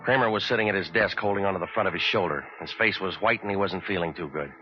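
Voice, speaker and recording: A very low-pitched, hard-boiled American private eye acted out by a man, narrating in terse, deadpan wisecracks. It is a 1940s radio detective play on a dull vintage recording, with music behind. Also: fast, lightly acted. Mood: dry, wry.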